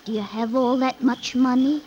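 A woman's voice: high-pitched, fairly even intonation, normal speed, darkish tone, lightly acted. A woman playing a poor, yearning young servant girl in a classic radio drama, coaxing and old-fashioned in phrasing. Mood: coaxing, loving. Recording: muffled, noisy old radio broadcast.

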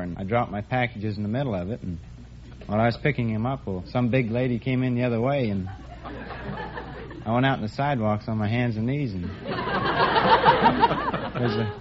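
A man answers a quiz host and tells a story, hesitating and leaning on 'well'. His voice is ordinary in pitch. The old recording is dull with a faint hiss. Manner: halting, starts with 'well'. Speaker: quiz contestant. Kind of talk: plain interview answers, telling an anecdote. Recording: lo-fi 1950s radio recording with faint noise.

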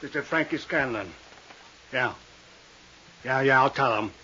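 A man's voice: mid-range voice, measured pace, close to an everyday voice. An actor playing a pushy, suspicious gangster's henchman in a 1950s radio drama, terse and street-tough. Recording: dull, noisy old radio recording.

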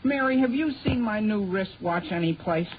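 A man's voice: high-pitched, animated, normal speed. Teenage American boy, flustered, evasive, hesitant, 1940s radio comedy, dull hissy recording. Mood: urgent calling. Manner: hesitant, stammering when cornered. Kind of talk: 1940s radio sitcom acting.

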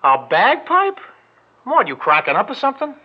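An actor playing a brash, street-smart small-time crook who talks in slang, in a 1940s radio drama. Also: male voice, very high voice, huge pitch swings, moderate pace, breathy edge, exaggerated voice. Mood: wisecracking. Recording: muffled old radio broadcast with faint noise.

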